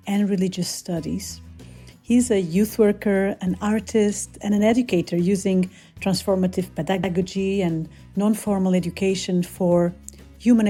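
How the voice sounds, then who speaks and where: Mellow-voiced woman host. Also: mid-range voice, slightly rough. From Portugal. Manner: friendly, laughs often, warm welcomes. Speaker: podcast host. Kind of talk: scripted intro then casual interview.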